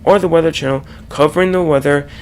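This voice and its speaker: A man narrating a slide presentation in a halting, explanatory way. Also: a little high, steady tone. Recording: faint background noise.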